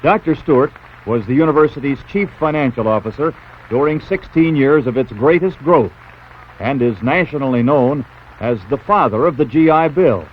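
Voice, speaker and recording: A man's voice: mid-range voice, narrow, tinny audio, a little hiss or hum. A man with an American accent reading scripted narration as a newsreel narrator, on a 1970s soundtrack.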